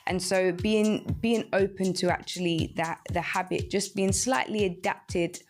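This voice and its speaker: A woman's voice: mid-range voice, steady tone, measured pace, soft background music. A woman hosting a radio show, giving conversational practical advice.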